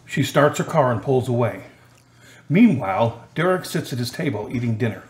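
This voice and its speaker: A man's voice: mid-range voice, sing-song, normal speed. Male narrator in a roomy recording. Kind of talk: scripted radio drama narration.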